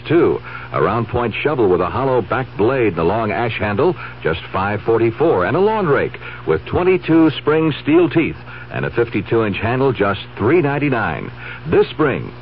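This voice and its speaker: Animated male radio pitchman. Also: normal speed. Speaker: commercial spokesman. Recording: dull, faintly hissy vintage radio.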